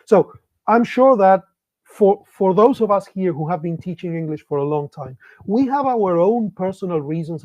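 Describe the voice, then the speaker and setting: High-voiced, reflective man. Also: darkish tone, dry recording, lo-fi sound. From Argentina. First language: Spanish. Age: middle-aged. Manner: reflective, asks many rhetorical questions. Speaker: speaker for English teachers. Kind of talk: online conference talk.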